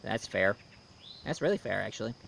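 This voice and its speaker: A man giving a casual, conversational review commentary with history asides, speaking informally and haltingly as he goes. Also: on the low side, animated, gravelly, fairly bright tone, slight background noise. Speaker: video reviewer.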